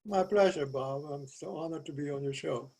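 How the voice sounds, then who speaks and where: An older man, a Dutch native speaker from South America, talking as a guest poet over a video call from a cluttered home office, soft-spoken and hesitant with restarts. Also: a little high, normal speed, vocal fry. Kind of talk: casual video call interview. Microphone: video call webcam.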